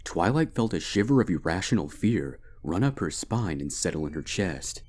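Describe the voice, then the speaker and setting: Deep-voiced man narrating a dark story. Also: sing-song, moderate pace. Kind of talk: dramatic scripted narration of dark fan fiction. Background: background music.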